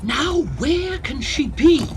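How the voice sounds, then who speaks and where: An actor playing a fussy, self-pitying hypochondriac old Victorian professor in a comic audio drama, theatrical and pompous. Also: male voice, extremely high pitch, animated, slow, slight background noise, full character voice. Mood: fretful, impatient.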